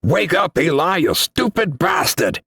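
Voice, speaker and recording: A man's voice: a little high, big pitch swings, measured pace, creaky, a bit bright, breathy edge, dry recording, clean studio sound, pitched above the performer's own voice, exaggerated voice. A man voicing a panicked soldier in an audiobook, hissing and growling urgently at his comrades. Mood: angry, urgent.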